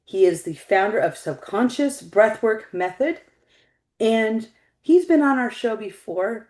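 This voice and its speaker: A woman's voice: mid-range voice, warm tone. A woman hosting an interview show, speaking as the presenter in a roomy, echoing recording. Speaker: show host.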